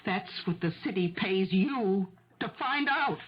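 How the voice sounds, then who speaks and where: An actress in a 1970s radio drama playing a desperate, stubborn middle-aged mother who argues insistently for her accused son, with a husky voice. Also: mid-range voice, lively intonation, a little slow, natural voice. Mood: bitter. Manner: insistent, argumentative, pleading. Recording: dull, lo-fi old radio recording.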